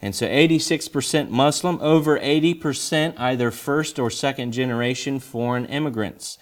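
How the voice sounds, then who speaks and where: A man's voice: medium pitch, moderate pace. A young adult American man, a missionary, speaking to a church congregation in a conversational, explanatory way with rhetorical questions. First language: English.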